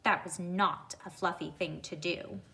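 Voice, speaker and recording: A woman reading a children's story aloud, addressing young listeners deliberately and pausing to ask them questions. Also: on the low side, steady tone, gravelly, sharp tone.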